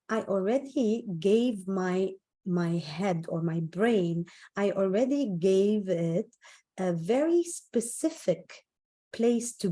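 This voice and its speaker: A woman's voice: mid-range voice, mellow. A woman speaking as a motivational speaker, telling personal stories in an emphatic, conversational way and repeating phrases for emphasis. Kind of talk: personal storytelling talk on self-esteem.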